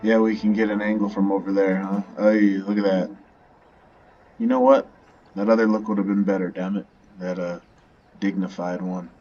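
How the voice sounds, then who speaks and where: Low-voiced, offhand man gaming. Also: lively intonation, normal speed, dark tone, dull audio. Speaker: video game streamer. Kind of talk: casual gameplay commentary. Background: game music.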